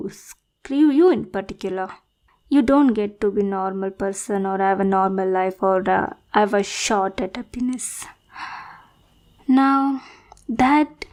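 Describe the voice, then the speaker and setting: A woman's voice: mid-range voice, darkish tone. A woman playing a lovesick, needy supervillain in a roleplay monologue, theatrical and pleading, self-pitying and possessive, sometimes dropping into whispers. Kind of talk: scripted roleplay monologue addressed to the listener.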